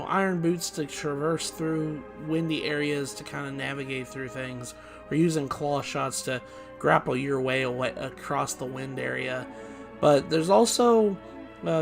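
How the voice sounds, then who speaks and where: A man with a slightly hoarse, groggy voice giving loose, rambling let's play commentary. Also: a little high. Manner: rambling, loose, with many fillers and restarts. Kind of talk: casual gameplay commentary.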